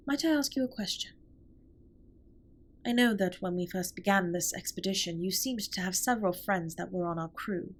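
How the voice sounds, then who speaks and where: A woman playing a formal, earnest, caring alien spaceship captain in a scripted comfort roleplay, speaking softly and breathily with measured, precise wording. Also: on the low side, a little hiss or hum, natural voice. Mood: curious, questioning. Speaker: audio roleplay performer. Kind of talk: scripted comfort roleplay, intimate one-sided dialogue.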